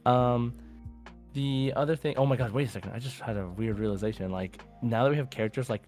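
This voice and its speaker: A man's voice: mid-range voice, brisk, darkish tone, soft background music. A man hosting a casual gaming podcast, talking in an enthusiastic, rambling way. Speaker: podcast host.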